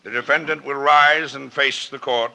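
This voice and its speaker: An actor playing a solemn judge in a 1940s radio drama, formal and declamatory. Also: male voice, mid-range voice, steady tone, normal speed, over loud music, natural voice. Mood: stern, solemn. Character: solemn, formal male judge passing sentence. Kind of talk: 1940s radio drama acting, courtroom pronouncement.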